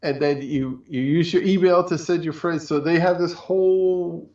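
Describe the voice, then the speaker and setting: Muffled, higher-pitched man rambling in an echoey room. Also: steady tone, moderate pace, a little mellow. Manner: rambling, colloquial, piles up examples. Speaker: show co-host. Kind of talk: casual chat. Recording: muffled, echoey, distant from mic.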